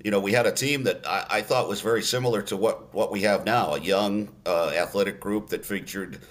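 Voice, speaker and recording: A man in his sixties, a radio play-by-play announcer, telling stories in a relaxed interview, unhurried with many fillers and restarts. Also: on the low side. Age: 60s.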